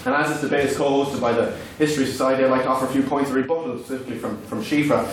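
Irish-speaking guy giving a debate speech, big booming hall echo, mic far off, hissy. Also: steady tone, racing. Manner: declamatory, rhetorical. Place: large echoey debating hall. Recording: echoey hall, distant mic, noisy.

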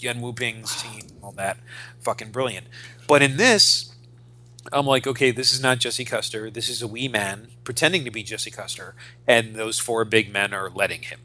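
Bright-voiced man, emphatic podcast co-host. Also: mid-range voice. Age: 40s. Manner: emphatic, repeats phrases, swears freely. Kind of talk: casual opinionated pop culture discussion.

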